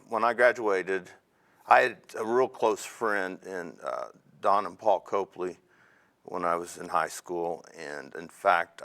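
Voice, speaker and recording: A man in his fifties from Indiana, an Army veteran telling his story in a measured, reflective way with frequent pauses. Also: low-pitched, a bit bright. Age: 50s. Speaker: interview guest, Army veteran. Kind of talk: oral history interview.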